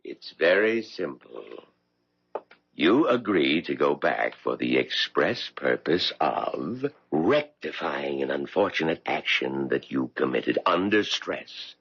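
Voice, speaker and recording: An actor playing a mysterious, all-knowing male stranger, gravelly and deliberate, probing insistently in a 1970s radio drama. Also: extremely low pitch, sing-song, fairly bright tone, no echo, exaggerated voice. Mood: persuasive. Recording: dull vintage radio broadcast recording.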